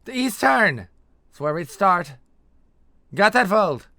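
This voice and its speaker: A man voicing a ruthless, sharp-tongued mercenary woman who mocks and menaces, with a put-on accent, in a fantasy story. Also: medium pitch, big pitch swings, moderate pace, dry recording, voice pushed up. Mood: brash. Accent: put-on foreign fantasy accent.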